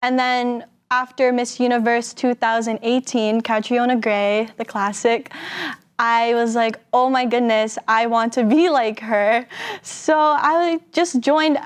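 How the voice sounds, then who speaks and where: Seventeen-year-old woman, pageant guest, upbeat chatty delivery, higher voice. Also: fairly even intonation, measured pace, smooth. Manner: upbeat, quotes her own thoughts, says "like". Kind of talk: casual interview answers.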